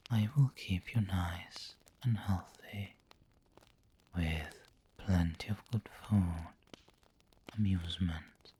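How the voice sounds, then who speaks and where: A man playing a doting, refined vampire lord in an ASMR roleplay, speaking intimately in a breathy whisper with formal wording. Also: low-pitched, warm tone, slight background noise. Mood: indulgent, teasing.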